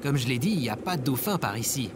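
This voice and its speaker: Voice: mid-range voice, animated, quick pace, heavy background noise, lightly acted. A performer playing a stubborn, whiny, hapless last survivor on Earth in an audio comedy, with an exasperated, grumbling manner. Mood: puzzled, casual. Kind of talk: scripted audio comedy drama.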